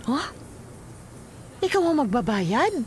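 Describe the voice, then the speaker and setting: A woman's voice: high-pitched, very animated, takes it slowly, a little hiss or hum, exaggerated voice. An actress with a Filipino accent playing a flirty, clingy woman, coy and pouting in radio drama style. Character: flirty, clingy woman companion of a married policeman.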